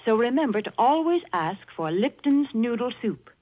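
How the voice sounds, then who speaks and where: An American woman giving a folksy, earnest, plain-spoken scripted sponsor pitch on 1940s radio. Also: very animated, normal speed, faint music behind. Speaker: sponsor's announcer. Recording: muffled 1940s radio recording.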